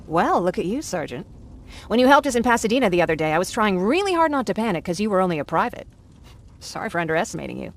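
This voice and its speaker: Game role: wry, wistful young female war survivor, conversational delivery, gravelly fry. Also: mid-range voice, sing-song, fast. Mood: friendly, wry. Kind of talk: naturalistic game cutscene acting.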